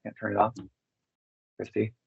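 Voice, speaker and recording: A man's voice: lowish voice, completely flat delivery, moderate pace, creaky, breathy edge, no room sound. A man being interviewed, telling personal stories in a casual, rambling way and often breaking off to voice his own thoughts. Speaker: interview guest. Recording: dull lo-fi, dry sound.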